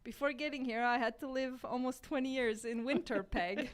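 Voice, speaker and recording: Smooth-voiced Polish-born woman co-host. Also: high-pitched, normal speed. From Poland. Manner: earnest and explanatory, with some hesitations. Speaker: radio podcast co-host. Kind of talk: casual chat.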